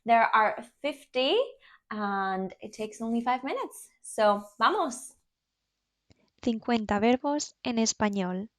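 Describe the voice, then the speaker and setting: Slow, careful woman with a Spanish accent. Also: fairly high pitch, dry recording. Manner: slow, clearly enunciated word drills. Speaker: Spanish teacher. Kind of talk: language lesson. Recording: dull, dry voice-over.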